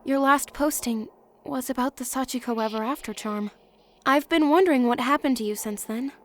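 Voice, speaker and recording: A woman's voice: sits high, normal speed, close to an everyday voice. A woman voicing an earnest, emotional teenage schoolgirl in an anime-style game dub.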